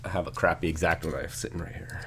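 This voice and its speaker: A low-voiced man hosts a casual live stream, his voice a little hoarse and stuffy from a sinus infection. He chats easily and reads out viewers' messages while working on a set. Faint rustling and crinkling of plastic bags sits in the background. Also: fast, slight background noise. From Canada. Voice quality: slightly hoarse, congested. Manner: relaxed, chatty, reading out chat messages. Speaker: live stream host building LEGO.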